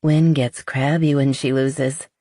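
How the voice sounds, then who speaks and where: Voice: medium pitch, flat delivery, slow, mellow, no room sound, studio quality. Narrator voicing a playful, confident young woman. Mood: playful teasing. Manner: teasing, upbeat.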